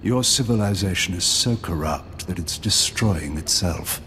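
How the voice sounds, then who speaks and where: An actor playing a defiant, fatalistic rebel leader in a game cutscene, grave and sardonic. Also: male voice, sits low, a little slow, in a large hall. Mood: bitter. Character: defiant rebel leader, middle-aged man, proud and fatalistic. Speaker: villain. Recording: game cutscene with loud music.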